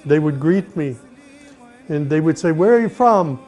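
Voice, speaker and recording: A middle-aged man from the United States, earnestly telling his own life story at an unhurried pace, with pauses and restarts. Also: on the high side, expressive. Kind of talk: personal testimony, reflective storytelling. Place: Saudi Arabia. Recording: soft music behind the voice.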